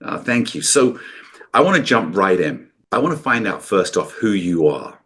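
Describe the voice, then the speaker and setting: A man's voice: lowish voice, big pitch swings, normal speed, a bit bright. Upbeat man hosting a podcast. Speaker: podcast host. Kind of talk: interview podcast.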